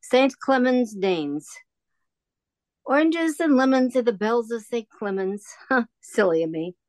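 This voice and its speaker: A woman's voice: on the high side, animated, measured pace, darkish tone. Woman doing a sweet old shop lady for a radio play, rambles and trails off, no room echo. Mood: wistful, chatty. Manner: rambling, hesitant, musing. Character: kindly, wistful old woman shopkeeper fond of rhymes.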